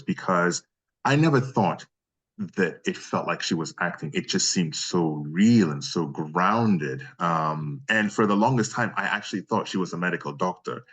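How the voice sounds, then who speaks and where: A man with a very deep voice speaks as a guest in a relaxed interview. He is a voice actor who gives long, winding answers, generous with his appreciation. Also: measured pace, slightly rough. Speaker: freelance voice actor being interviewed. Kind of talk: casual interview chat.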